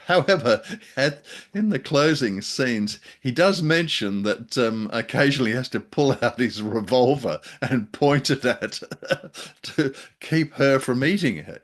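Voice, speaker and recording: A man's voice: mid-range voice, animated, measured pace. A middle-aged man co-hosting a casual review chat with jocular, dry humour. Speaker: podcast co-host.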